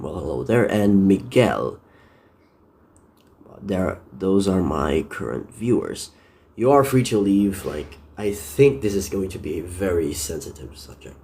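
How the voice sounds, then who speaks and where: A man from the Philippines with a deep voice, talking casually on a live stream, rambling and correcting himself with many fillers. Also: vocal fry, mellow.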